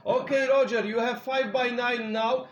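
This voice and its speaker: Male CB radio operator, native Polish speaker with Polish accent, extremely high voice, repetitive procedural calls, roomy echoing sound. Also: steady tone, normal speed, lo-fi sound. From Poland. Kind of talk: radio contact calls in short repeated phrases.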